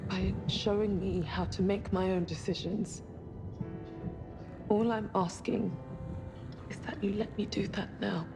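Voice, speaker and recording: An actress playing a reluctant young crew member in a sci-fi game, speaking in an earnest, hesitant, subdued way. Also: female voice, mid-range voice, fairly even intonation, measured pace, pauses often, natural voice. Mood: reluctant, pleading. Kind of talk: cinematic game drama acting. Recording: music behind the voice.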